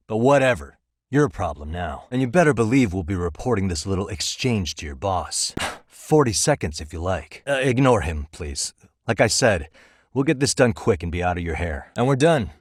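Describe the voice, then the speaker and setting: Video game private detective, deep register, casual and wry, easygoing, close dry recording. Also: male voice, no room sound. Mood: defensive, indignant, then exasperated, apologetic. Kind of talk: video game dialogue voice acting.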